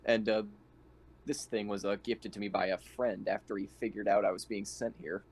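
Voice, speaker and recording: A man's voice: fairly low pitch, fairly even intonation, normal speed, a little hiss or hum. A man improvising casually as a player in a tabletop roleplaying game, in a hesitant, stumbling manner.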